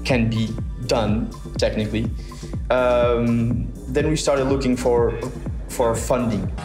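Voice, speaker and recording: A young man from the south of Portugal, a founder answering interview questions thoughtfully with many pauses and restarts. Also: mid-range voice, steady tone. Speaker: startup founder, guest. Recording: roomy sound with music bed.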